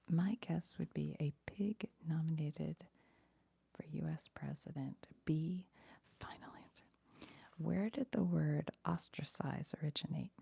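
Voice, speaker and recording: A woman whispering as an ASMR streamer, reading trivia aloud in a hushed, breathy voice and musing casually as she goes. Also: deep voice, no room sound.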